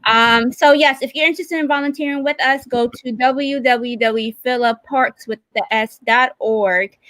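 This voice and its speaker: A woman hosting a live online stream, talking informally and haltingly as she searches for words. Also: high voice, a bit bright, no echo. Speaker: livestream host. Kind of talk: unscripted live hosting, casual chat. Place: remote video call.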